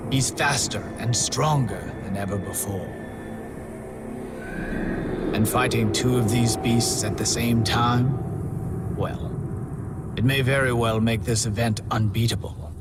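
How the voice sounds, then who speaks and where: An actor playing a courteous, sinister game master who speaks in a measured, polite and ominous way in video game cutscenes. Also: male voice, mid-range voice, natural voice. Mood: coolly ominous. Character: courteous, sinister male contest master, mockingly solicitous, menacing. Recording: loud music under the voice.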